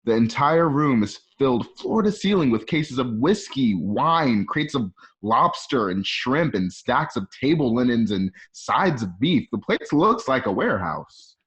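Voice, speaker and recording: A man's voice: medium pitch, sing-song, a little slow, fairly bright tone. A man reading scripted scene descriptions as the narrator of a radio play. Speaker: narrator reading stage directions. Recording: muffled home recording.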